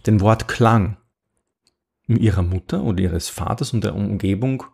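A middle-aged Austrian man hosts a podcast, his lowish voice carrying a gravelly edge. He talks in a loose, chatty way, restarting sentences and tossing in rhetorical asides. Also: measured pace, darkish tone. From Upper Austria. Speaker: podcast host. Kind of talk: casual podcast talk.

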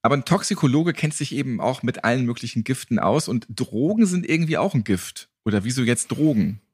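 A man's voice: medium pitch, expressive, brisk, darkish tone, husky, no echo. Flippant male podcast host, dry close sound. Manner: flippant, colloquial. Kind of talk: casual, joking podcast hosting.

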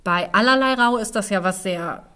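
A woman's voice: mid-range voice, normal speed, bright, forceful tone. A woman co-hosting a podcast, explaining fairy tales in a conversational way. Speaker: podcast co-host.

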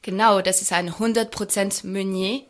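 A young woman from France with a French accent, a podcast guest who speaks warmly and hesitates often. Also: medium pitch, steady tone, moderate pace. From Champagne, France. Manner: warm, hesitant, many fillers. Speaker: guest, champagne brand ambassador. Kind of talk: podcast interview.

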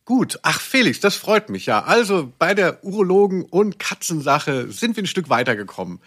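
A man's voice: high-pitched, very animated, normal speed, fairly bright tone. A man co-hosting a podcast with casual, teasing, mock-serious banter. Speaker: podcast co-host.

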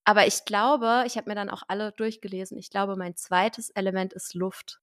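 Podcast host, woman, lively casual talker with lots of fillers and laughs. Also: mid-range voice, moderate pace. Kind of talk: casual chat.

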